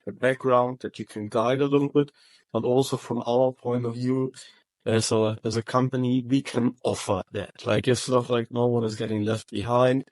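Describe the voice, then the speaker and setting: A man answering interview questions about his company, speaking in halting phrases with frequent restarts. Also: medium pitch, flat delivery, a little mellow, no room sound. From Germany. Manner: halting, many restarts and repeated words. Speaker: roofing company manager.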